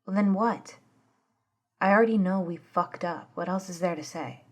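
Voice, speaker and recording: A woman's voice: on the low side, moderate pace, close to an everyday voice. A woman acting in an ASMR audio drama as a brash, defiant young woman with a sarcastic, impatient, informal manner. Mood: sarcastic, bristling.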